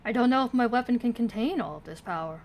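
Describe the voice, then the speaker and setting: A woman's voice: on the high side, animated, fast talker, darkish tone, a little hiss or hum. A woman doing casual livestream commentary on a game, with sarcastic asides and swearing, sometimes reading dialogue aloud. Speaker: game streamer. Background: video game music.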